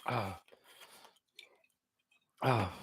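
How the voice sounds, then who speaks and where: A man's voice: fairly low pitch, very slow, a bit bright, slight background noise. Glaswegian male streamer, loose rambling chat, casual swearing, answers viewer comments. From Glasgow, Scotland. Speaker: livestream host.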